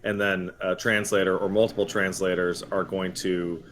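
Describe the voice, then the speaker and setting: A man's voice: low voice, flat delivery, normal speed, fairly bright tone. A man co-hosting a gaming podcast, chatting casually and riffing by acting out imagined reactions. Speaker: podcast co-host.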